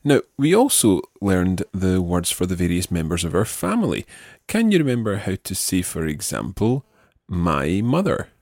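Low-voiced male language teacher with lively intonation. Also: moderate pace, no echo, clean studio sound. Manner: patient, instructive, carefully enunciated. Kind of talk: spoken language lesson, prompting and repeating phrases.

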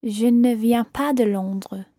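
Slow, careful woman learner, mellow voice. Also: on the high side, no room sound, clean studio sound. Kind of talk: answering as a language learner.

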